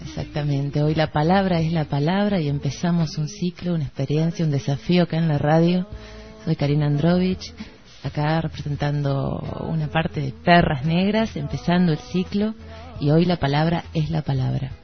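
A woman's voice: low-pitched, normal speed. A woman with an Argentine Rioplatense accent talking conversationally as co-host of a literary radio show. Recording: dull lo-fi radio recording. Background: background music.

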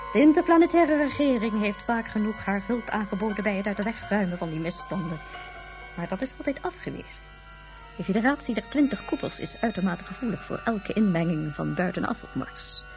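A woman's voice: mid-range voice, darkish tone, close to an everyday voice. A woman playing a stern, haughty head of government, formal and disapproving, in a science fiction radio play.

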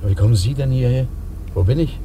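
Actor as secretive, obstinate male invalid, radio play. Gravelly, creaky delivery, noisy vehicle backdrop. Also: medium pitch, brisk, close to an everyday voice. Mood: dazed, pleading. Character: weakened but stubborn, secretive male traveller.

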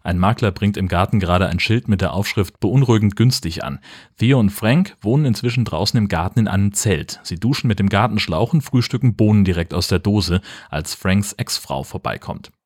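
A man with a fairly low voice hosts a podcast. He chats casually and narrates scripted comedy. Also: animated, brisk. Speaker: podcast host.

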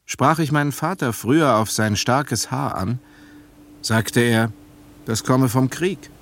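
An actor playing a reflective grown son who narrates memories of his father in a radio drama, measured and deliberate. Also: mid-range voice, a little hiss or hum. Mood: reflective, grave.

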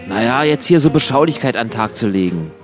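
A man's voice: medium pitch, expressive, quick pace, faint music behind. A man doing improvised comic banter in a radio sketch, dry and argumentative. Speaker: comedy sketch performer.

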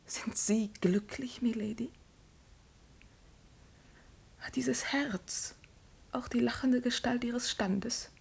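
A woman's voice: a little high, fairly even intonation, slow pace, creaky, a little mellow, breathy edge, exaggerated voice. An actress playing a proud, earnest young commoner girl in a classical radio drama, with solemn, formal declamation. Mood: defiant, wounded dignity.

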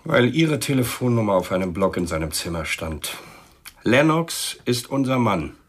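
Blunt police inspector for radio noir: rough voice, widely swinging intonation, brusque, insistent. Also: male voice, fairly low pitch, big pitch swings, normal speed. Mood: grim. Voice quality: husky, rough. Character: blunt, hard-nosed male homicide police inspector. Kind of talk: German radio play acting.

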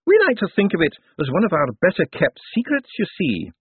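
A man's voice: sits high, sing-song, fairly quick, husky, no room sound, pitched above the performer's own voice, full character voice. A man voicing a fussy, weary elderly male wizard scholar who rambles and grumbles plaintively. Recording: tinny phone-like lo-fi.

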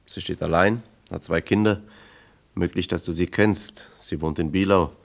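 Radio play role: East German factory comrade, deep register, earnest, plain-spoken, persistent. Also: male voice, steady tone, moderate pace, vocal fry. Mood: earnest, persuasive.

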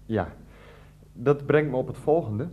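A man's voice: medium pitch, a little slow, breathy edge, narrow, tinny audio. An actor playing a hesitant, evasive and theatrical family man in a radio drama, rambling as he talks. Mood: grave, hesitant. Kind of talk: Dutch radio drama dialogue.

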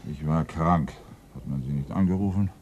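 A man's voice: rumbling low, moderate pace, darkish tone, slight background noise. A man acting a seasoned, middle-aged police inspector in a German radio crime drama, curt and authoritative. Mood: dry. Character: seasoned, brusque police inspector giving orders.

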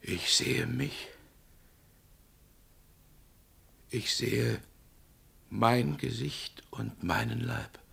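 An actor playing an anguished condemned man who protests his innocence in a radio drama, with a gravelly, husky voice. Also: mid-range voice, slow, fairly bright tone, exaggerated voice. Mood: shaken, horrified.

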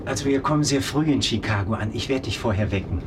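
An actor in a German radio play playing a caring husband, brisk and reassuring. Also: male voice, close to an everyday voice. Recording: loud vehicle noise throughout. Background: rumbling train ride.

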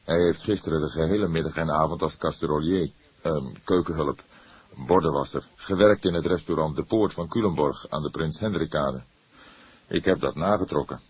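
A man plays an older police detective in an audiobook with a low, raspy voice. He is calm and wry, lecturing without hurry and with a note of disapproval. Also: normal speed, dry recording. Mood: measured. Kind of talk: audiobook character voice.

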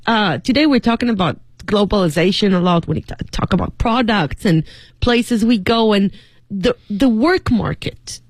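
A woman's voice: medium pitch, no echo. Woman hosting a dry-studio chat. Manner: chatty, steers the talk with questions. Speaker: radio show host.

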